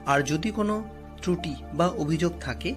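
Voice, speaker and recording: A man narrating a scripted horror story as a storyteller, delivering it dramatically and deliberately, phrase by phrase. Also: a little high. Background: faint background music.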